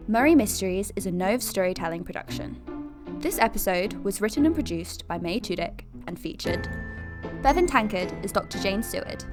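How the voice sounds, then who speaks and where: A woman giving a scripted credits announcement in a plain narrating style. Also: mid-range voice. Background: music.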